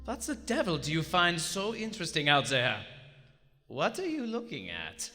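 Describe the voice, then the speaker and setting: An actor playing a nervous, guilt-ridden German submarine lieutenant who is coming unraveled, performed with anguished fervor in live radio theater. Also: male voice, fairly high pitch, huge pitch swings, normal speed, bright, forceful tone, soft background music, heavily acted. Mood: bitter, drunk. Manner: anguished, fervent, dramatic. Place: on stage in a large theater. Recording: echoey theater hall, live stage recording.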